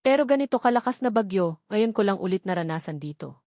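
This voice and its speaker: A woman voicing a guarded, wounded man who speaks with calm firmness in a romantic audio drama. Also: medium pitch, quick pace, dry recording, clean studio sound, close to an everyday voice. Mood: reassuring. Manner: calm, firm, brooding. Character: guarded, wounded but reassuring island man.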